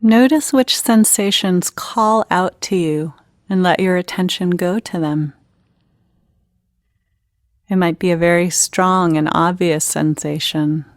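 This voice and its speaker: A woman leading a guided meditation in an unhurried, gentle, instructional manner with pauses between phrases. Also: lowish voice, no room sound, studio quality.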